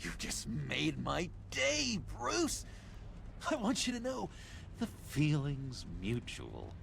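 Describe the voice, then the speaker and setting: A man's voice: a little high, wildly swooping pitch, takes it slowly, creaky, a bit bright, a little hiss or hum, exaggerated voice. An actor playing an eager, needy, unstable young man in a video game, earnest and anxious to please. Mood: touched, delighted.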